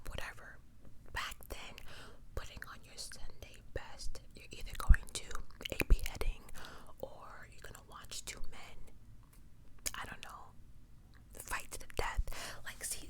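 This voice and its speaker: A woman hosting ASMR in a breathy whisper, telling a true crime story with chatty, informal commentary and words repeated for emphasis. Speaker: ASMR host.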